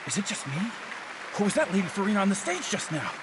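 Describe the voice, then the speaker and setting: Puzzled young spectator, high, very animated pitch, game character, loud crowd behind. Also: male voice, fast talker. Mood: puzzled, surprised. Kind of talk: video game voice acting. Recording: loud theatre crowd noise.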